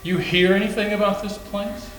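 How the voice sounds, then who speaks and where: A man's voice: high voice, flat delivery, moderate pace, fairly bright tone, soft background music. A man playing a jeering, sullen, petulant caretaker with a taunting, grudging, folksy manner in a staged readers theater drama. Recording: echoey room recording.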